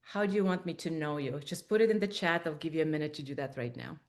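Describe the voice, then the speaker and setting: A woman's voice: low voice, fast. A woman with an Estonian accent, a coach presenting a webinar in person and inviting the audience to join in. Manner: warm and inviting, addresses audience directly. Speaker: coach and guest speaker. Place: conference room with small group.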